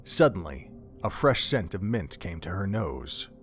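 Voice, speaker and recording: A man's voice: low voice, very animated, measured pace, a bit bright, soft background music. A man reading scripted narration for an audio drama in a solemn, literary storytelling manner.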